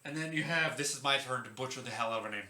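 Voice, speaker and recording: A man's voice: mid-range voice, racing, pushed and bright. A man hosting a podcast in a casual, joking, informal explainer style, stumbling over unfamiliar names. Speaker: podcast host. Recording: roomy echo, faint background noise.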